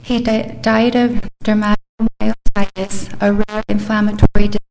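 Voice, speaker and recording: A woman giving a steady, earnest life sketch as a eulogy at a funeral service in a chapel. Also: mid-range voice, moderate pace, creaky, low-quality recording. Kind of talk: reading a eulogy.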